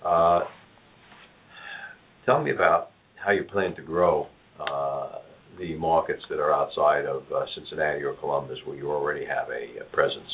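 New York man with a very deep voice. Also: fairly even intonation, moderate pace, husky. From New York City. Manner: asks probing follow-up questions. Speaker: show host. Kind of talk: business interview. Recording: tinny phone-like audio.

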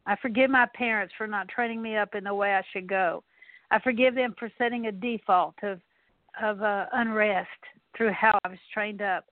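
A woman's voice: medium pitch, fairly even intonation, normal speed, no room sound. A woman leading a spoken prayer of repentance, earnestly repeating one confession after another. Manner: earnest, repetitive litany of confessions. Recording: tinny phone-like line, dry.

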